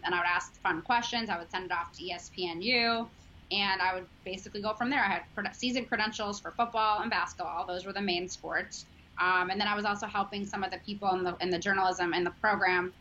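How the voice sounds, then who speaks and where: Woman, 30s, Chicago accent, bright tone, earnest interview guest, dull recording. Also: medium pitch. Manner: chatty, filler-heavy, earnest. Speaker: sports journalist guest. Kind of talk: casual interview chat. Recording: dull lo-fi audio, faint noise.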